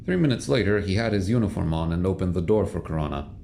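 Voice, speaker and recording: A man's voice: sits low, animated, quick pace, creaky, warm tone, a little hiss or hum. A man reading scripted third-person narration for an audio drama, as a storyteller. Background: music.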